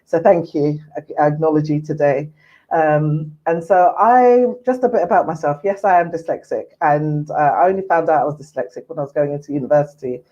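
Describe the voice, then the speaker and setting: A woman's voice: low-pitched, brisk, mellow. A woman in her forties from Ghana, a mental health services manager who speaks in an earnest, reflective way as an interview guest over a video call. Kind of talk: casual interview chat. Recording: muffled lo-fi audio.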